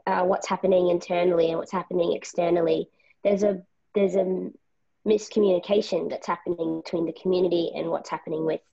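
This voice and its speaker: A woman's voice: fairly low pitch, fairly even intonation, a little fast, gravelly, dark tone, highs cut off. A woman participant making a thoughtful, analytical spontaneous comment over a video call. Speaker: workshop participant.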